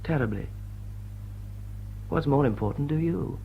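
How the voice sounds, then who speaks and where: An actor playing a smitten English aristocrat in a radio play, with an upper-class accent and an urbane, drily witty, tender manner. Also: male voice, a little high, lively intonation, a little slow, vocal fry, muffled recording, a little hiss or hum. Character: smitten, wry English aristocrat lord wooing a woman. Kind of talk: British radio play acting.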